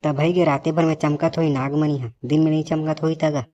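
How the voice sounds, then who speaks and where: A man's voice: on the high side, flat delivery, brisk, dark tone, lightly acted. A man voicing a weary, grumbling male cartoon character in comic dialogue, complaining sarcastically with a Chhattisgarhi accent. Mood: sarcastic complaining. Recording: dull lo-fi audio, faint noise.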